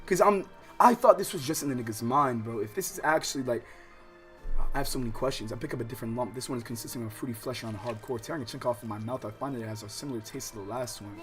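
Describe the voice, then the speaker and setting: A man's voice: mid-range voice, fast, soft background music. A man livestreaming a visual novel, switching between casual, slangy, exclamatory reactions and reading the game text aloud. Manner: animated, loud exclamatory outbursts. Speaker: streamer playing a visual novel.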